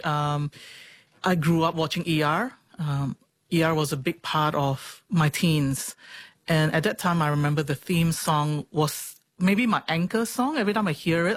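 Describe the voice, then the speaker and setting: A woman's voice: sits low, no echo. A woman in her forties with a Singaporean accent, talking earnestly and expressively about herself as an interview guest. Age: 40s. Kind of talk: reflective personal interview.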